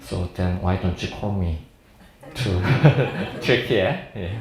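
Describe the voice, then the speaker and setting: Casting: male seminar lecturer, forties, native Korean with accented, halting English, jovial manner, fairly low pitch, recorded in an echoey room. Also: animated. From South Korea. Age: 40s. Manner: halting, jovial non-fluent English with frequent chuckles. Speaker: plastic surgeon lecturing at a medical seminar. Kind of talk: informal seminar presentation to an audience.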